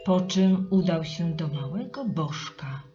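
A woman with a lowish voice tells a children's story slowly and expressively. Her delivery is dramatic and rhythmic, with clear music behind her. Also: animated. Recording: slightly muffled, with music behind.

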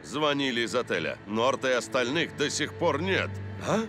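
An actor playing a stern, level-headed middle-aged soccer coach in a cartoon dub. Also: male voice, mid-range voice, big pitch swings, normal speed, lightly acted. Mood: serious, concerned. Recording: background music under the voice.

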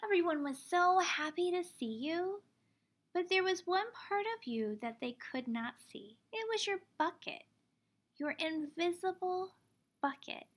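High-pitched woman reading to young children. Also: unhurried. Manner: expressive, simple explaining for young children. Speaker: teacher reading a picture book aloud. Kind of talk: children's storybook read-aloud.

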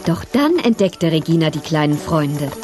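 A woman's voice: low voice, big pitch swings, normal speed, over music. A woman narrating a scripted story for children as the storyteller.

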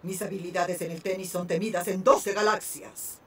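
A woman's voice: lowish voice, steady tone, normal speed, recorded from a distance, heavy background noise, lightly acted. A woman voicing a spirited, playful female hippo in a cartoon. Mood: mock-heroic. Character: spirited female hippo playing at space heroes. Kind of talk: Spanish-dubbed cartoon acting.

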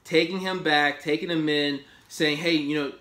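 Man, 30s, casual, enthusiastic, slightly high voice, echoey home office, desk camera. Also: moderate pace. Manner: enthusiastic, animated, gestures while talking. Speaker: sports podcast host. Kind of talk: casual post-game fan review. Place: small home office, indoors.

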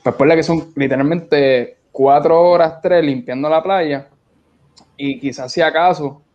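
A man's voice: fairly high pitch, measured pace. A young man with a Puerto Rican accent chatting informally as an interview guest over a video call. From Puerto Rico. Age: young adult. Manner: informal, colloquial. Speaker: guest, beach cleanup organizer. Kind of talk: casual interview conversation. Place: indoor room on a video call. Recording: dull, lo-fi video call audio.

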